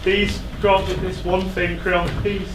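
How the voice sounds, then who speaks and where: A man on stage playing an anguished, self-loathing fallen king in a classical tragedy, declaiming in halting, pleading phrases. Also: high-pitched, flat delivery. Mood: tender pleading. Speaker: stage actor. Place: indoor theatre stage. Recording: echoey theatre, distant microphone, faint noise.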